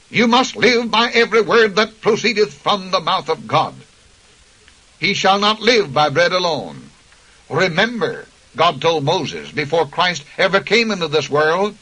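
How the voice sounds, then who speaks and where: A man's voice: fairly high pitch, pushed and bright, breathy edge, poor audio. A man preaching a radio sermon with impassioned, emphatic delivery, citing scripture chapter and verse.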